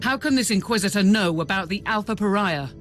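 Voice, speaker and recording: An actor playing a dutiful ship officer in a video game, reporting formally and deferentially to a superior. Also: male voice, high voice, expressive, a little fast, fairly bright tone, faint music behind. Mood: urgent, dutiful. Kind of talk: video game cutscene acting.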